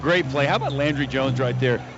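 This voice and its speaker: A man's voice: mid-range voice, fairly quick. An American man giving sideline football analysis on live radio in punchy, fragmented sentences. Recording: dull radio broadcast, loud stadium noise behind.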